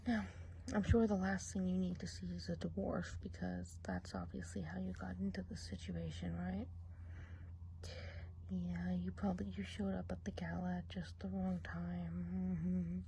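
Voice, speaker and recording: Woman as a gossipy forest witch, mostly whispered, mellow tone, faint hiss behind. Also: fairly low pitch, measured pace, vocal fry, poor audio. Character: gossipy woodland witch woman, chatty and nosy. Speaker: ASMR role-play performer. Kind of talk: ASMR role play.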